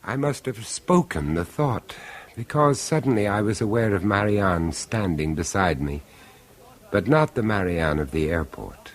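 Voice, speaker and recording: Grave first-person narrator; low voice, slow and expressive. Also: male voice. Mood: brooding. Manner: measured, grave, dramatic. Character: brooding man recalling a ruined violin concert. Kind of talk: 1970s radio drama narration.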